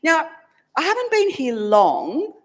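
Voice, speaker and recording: A woman's voice: high-pitched, wildly swooping pitch, slow. A woman minister interviewing a church member in a casual, chatty way, laughing easily. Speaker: minister leading worship.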